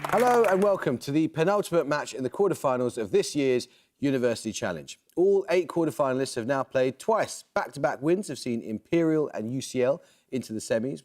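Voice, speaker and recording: A man hosting a television quiz show, reading questions and introductions in a crisp, measured way. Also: medium pitch. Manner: crisp, measured, lively intonation. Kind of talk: scripted quiz presenting. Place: television studio.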